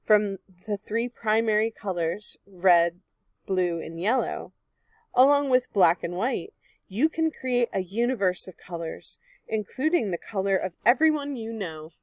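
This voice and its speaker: A woman reading a picture book aloud like a teacher, in a clear, expressive manner meant for young children. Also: medium pitch, measured pace, no echo. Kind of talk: children's read-aloud narration.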